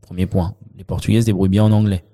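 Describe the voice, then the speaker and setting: French male accountant guest, deep mellow voice, halting delivery full of fillers. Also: little pitch movement. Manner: hesitant, many fillers and restarts. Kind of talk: conversational interview.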